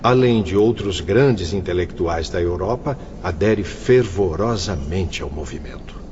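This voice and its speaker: An actor playing an earnest, courteous young university inspector, explaining things formally in an audio drama. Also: male voice, low-pitched, lively intonation, a little slow, darkish tone. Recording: dull lo-fi audio, faint ambient noise.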